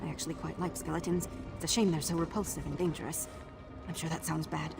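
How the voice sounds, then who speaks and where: An actress playing a wry, morbidly curious young investigator in a video game, with a dry, understated and slightly awkward manner. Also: female voice, low voice, steady tone, fast, vocal fry, music in the background. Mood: awkward, defensive.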